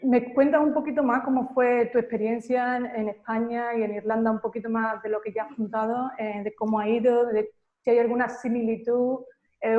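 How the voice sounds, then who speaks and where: A woman from Andalusia with an Andalusian accent, hosting and asking measured questions. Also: a little high. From Seville, Andalusia, Spain. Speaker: host. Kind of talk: conversational interview. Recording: echoey, tinny call audio.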